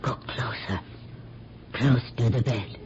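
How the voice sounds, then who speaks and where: An actress playing a frightened, insistent old female servant in a radio drama, with a husky, breathy voice. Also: a bass voice, takes it slowly, a little mellow, exaggerated voice. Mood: urgent, insistent. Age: older. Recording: dull, lo-fi vintage radio recording.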